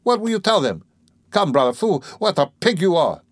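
A man voicing a flustered, excitable young man who stammers and blusters in a dramatised audiobook reading. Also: medium pitch, moderate pace, dry recording, clean studio sound, voice pushed up. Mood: indignant. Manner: stammering, exclamatory, indignant.

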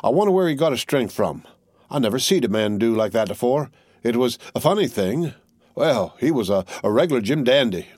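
A folksy wounded country soldier rambles on in a halting backwoods drawl, as a man reading an audiobook puts him on. The voice sits up higher than a plain speaking voice. It is recorded dry and close, with no room sound. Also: animated, darkish tone, slightly rough, no room sound. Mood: rambling folksy. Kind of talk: audiobook character voice.

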